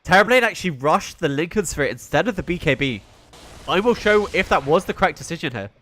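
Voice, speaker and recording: A man's voice: fairly high pitch, expressive, fast, fairly bright tone. British man casting a live esports match. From Britain. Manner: chatty, answers his stream chat between plays. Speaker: esports caster. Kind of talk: solo play-by-play commentary on a live stream.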